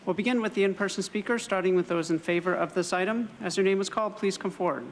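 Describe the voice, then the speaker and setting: A man reading out meeting procedure as clerk of the board, steady and formal. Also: high-pitched, quick pace, big-room sound, slight background noise, rough recording. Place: government board chamber.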